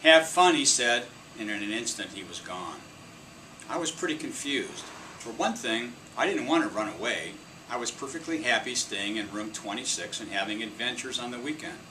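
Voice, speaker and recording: A man reading a children's story aloud in an expressive storytelling style. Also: medium pitch, normal speed. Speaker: storybook reader. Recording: distant mic, clear air-conditioning hum.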